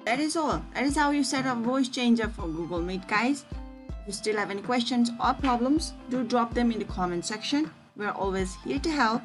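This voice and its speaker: A woman hosting a software tutorial, giving instructions step by step. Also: a little high, lively intonation, normal speed, over music. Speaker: tutorial host.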